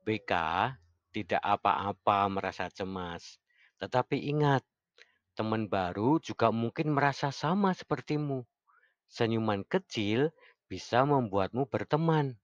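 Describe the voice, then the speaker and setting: A man voicing a gentle, reassuring mother monkey in a storybook, with a dull recording. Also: lowish voice, lively intonation, measured pace, no echo. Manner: soothing, encouraging.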